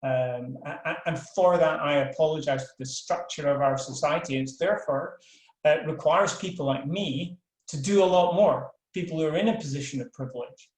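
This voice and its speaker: A man's voice: a little high, moderate pace. Older Scottish man in an echoey room. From Scotland. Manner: frank, self-deprecating, many fillers and restarts. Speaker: professor of world politics. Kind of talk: webinar talk.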